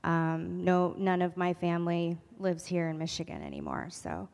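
A woman's voice: fairly low pitch, lively intonation, normal speed, vocal fry, a little mellow, clean tone. A woman in her forties, from California, casually introducing her family in a friendly, chatty way. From California, USA. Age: 40s. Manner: friendly, chatty, with light laughs. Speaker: pastor's wife candidate. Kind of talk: casual personal sharing. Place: church hall. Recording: reverberant hall audio.

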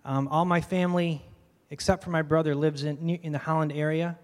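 A soft-spoken man with a fairly high voice talks about himself before a congregation. His mild, rambling speech carries a long reverb from the hall around him. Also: fairly even intonation, a little fast. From Michigan, USA. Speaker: pastoral candidate. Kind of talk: casual personal testimony. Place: church hall.

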